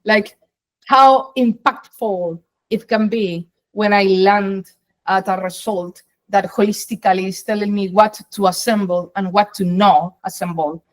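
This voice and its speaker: A middle-aged woman from Colombia, a native Spanish speaker with a Colombian accent, giving long emphatic answers as an interview guest. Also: fairly low pitch. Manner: emphatic, explains in long flowing runs with rephrasings. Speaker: guest, data science executive.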